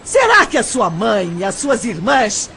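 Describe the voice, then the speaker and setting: A virtuous, emotional young Brazilian shop girl in a melodramatic audio drama. Her voice is high and swings widely in pitch, earnest and proud. Faint ambient noise sits behind her. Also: wildly swooping pitch, measured pace, creaky, fairly bright tone, exaggerated voice. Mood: indignant. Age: young adult.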